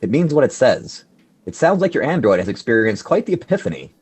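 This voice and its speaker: An actor playing an exasperated, bookish literature expert in a radio play, dry and incredulous in manner. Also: male voice, mid-range voice, sing-song, brisk, darkish tone, slightly rough.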